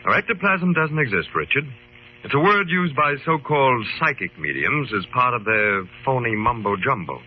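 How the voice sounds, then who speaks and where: An actor playing a calm, authoritative club leader, formal and measured, in old-time radio drama style. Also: male voice, mid-range voice. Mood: authoritative, explaining. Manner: formal, measured, clearly enunciated. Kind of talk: 1940s-50s radio drama acting and narration. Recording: muffled old radio broadcast with hiss.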